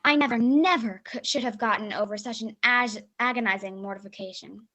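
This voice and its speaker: A woman's voice: on the high side, fairly quick, pushed and bright. A woman playing a dramatic, self-important schoolgirl in a radio play rehearsal, telling her tale with emphatic melodrama. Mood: melodramatic, appalled. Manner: melodramatic, emphatic, mangles big words.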